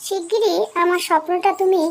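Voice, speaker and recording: Woman voicing a very high-pitched childlike cartoon boy. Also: fairly even intonation, a little fast. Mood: upset, pleading. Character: whiny young boy who loves chocolate dreams.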